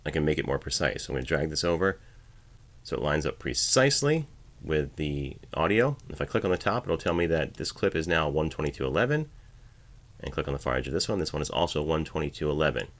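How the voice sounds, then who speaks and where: A man's voice: rumbling low, sing-song, quick pace. A man narrating an instructional screencast, explaining each step in a casual way as a voiceover artist giving a tutorial. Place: home voiceover studio, at the editing station.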